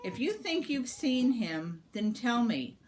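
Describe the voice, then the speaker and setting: A woman's voice: fairly high pitch, sing-song, slow, a little mellow, a little hiss or hum, pitched above the performer's own voice, rough recording. A woman voicing a stern, shrewd sister of a spirit in a storybook, commanding and probing as she questions visitors. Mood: challenging.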